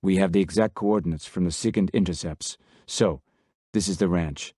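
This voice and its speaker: A man's voice: low voice, normal speed, mellow, no echo, studio quality. A man voicing a confident, polite male Colombian colonel in a military briefing.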